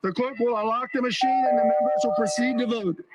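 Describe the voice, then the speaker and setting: High-voiced American man presiding, noisy chamber. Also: steady tone, quick pace. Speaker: presiding legislative officer. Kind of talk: formal procedural announcements. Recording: noisy legislative chamber clamor.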